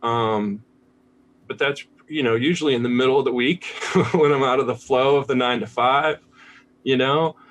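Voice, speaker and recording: A man's voice: medium pitch, fairly even intonation, normal speed, a bit bright. Candid American man over noisy audio. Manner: candid, laid-back, casually sweary. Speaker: podcast guest, artist. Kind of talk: casual conversational interview.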